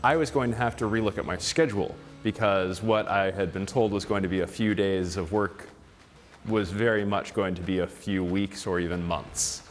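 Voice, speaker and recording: A man recounting, in a relaxed interview, how he came to work on the series. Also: low-pitched, moderate pace, big-room sound, slight background noise. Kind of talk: interview storytelling.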